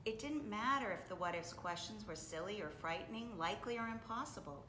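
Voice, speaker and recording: A woman reading a children's story aloud expressively, with deliberate, dramatic phrasing. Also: expressive, sharp tone. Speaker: storybook reader. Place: indoors, library. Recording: echoey room with faint rumbling noise.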